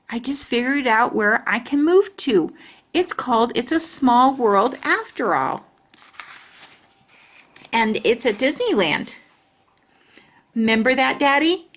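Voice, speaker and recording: A woman's voice: fairly high pitch, pitched above the performer's own voice. A woman voicing a stubborn, dramatic little girl from a storybook, with childish, insistent phrasing. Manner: childish grammar, emphatic and insistent. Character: stubborn, dramatic little girl narrating her own life.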